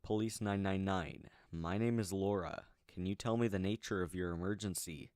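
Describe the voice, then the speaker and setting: A man voicing a calm, professional female police emergency dispatcher who asks clear, reassuring questions. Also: low voice, moderate pace, no echo, studio quality, natural voice. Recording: music behind the voice.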